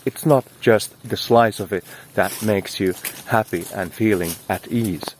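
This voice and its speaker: A man's voice: lowish voice, measured pace, a little hiss or hum. A man delivering a motivational spiritual monologue as a teacher, speaking in an emphatic, poetic, exhorting way.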